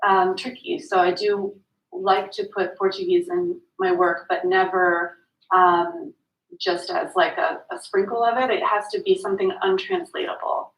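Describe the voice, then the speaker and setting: A woman writer answering a panel question in a soft, hesitant way with frequent ums. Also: lowish voice. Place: event room at a literary gathering. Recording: roomy, distant, dull-sounding mic.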